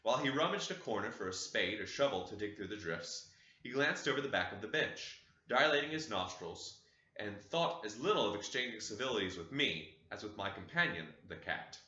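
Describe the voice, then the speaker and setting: A man reading a classic novel aloud as an audiobook, in an expressive, dramatic way, recorded in an echoey room with dull sound. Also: on the low side. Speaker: audiobook reader.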